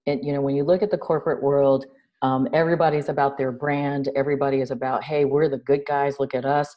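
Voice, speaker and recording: A middle-aged woman from New City, a guest on a video call, telling stories in a lively, animated way. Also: rumbling low, fast, dull audio. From New York City. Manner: animated storyteller, gestures a lot, laughs. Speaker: guest, social entrepreneur. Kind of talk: casual interview chat. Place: home room indoors. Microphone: video call webcam.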